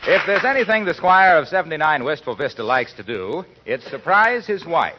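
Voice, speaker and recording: Old time radio announcer guy, 1940s, persuasive scripted pitch, animated, dull old audio. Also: brisk, a loud crowd, heavy background noise.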